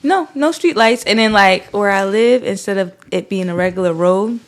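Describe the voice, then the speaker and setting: A woman's voice: medium pitch, moderate pace. A young woman from Jamaica with a Jamaican accent, chatting as a podcast guest in a loose style full of fillers. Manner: laid-back, many fillers like "like" and "you feel me". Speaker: podcast guest, content creator. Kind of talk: casual chat.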